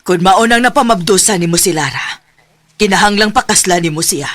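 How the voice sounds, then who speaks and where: A woman's voice: fairly low pitch, fairly quick, fairly bright tone, no echo, faint music behind. A woman voicing a stern mother who sternly lays down the law to her son in a radio drama. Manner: stern, commanding. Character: stern mother demanding marriage.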